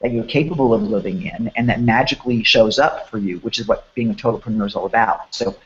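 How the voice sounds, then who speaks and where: A man hosting a promotional online chat who sounds hoarse and sniffly. He talks in an enthusiastic, rambling way with many restarts. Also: on the low side, quick pace, highs cut off. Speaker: host.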